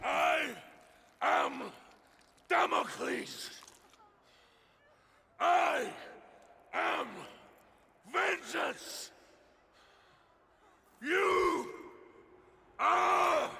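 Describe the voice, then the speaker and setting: A man's voice: very high-pitched, drawn-out words, vocal fry, pauses often, pushed and bright, raised voice, some chatter behind, full character voice. An actor playing a vengeful gladiator warrior who roars his challenges. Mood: furious. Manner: roaring battle cries.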